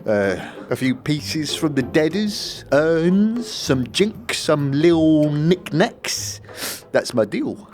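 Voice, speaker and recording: Sly old junk trader, wildly swooping. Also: male voice, a little high, big pitch swings, very slow, a little mellow, faint music behind, heavily acted. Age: older. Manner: folksy, sly, tags phrases with questioning "eh". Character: wheedling, shifty old male junk trader in a fantasy slum. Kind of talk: fantasy audio drama character acting.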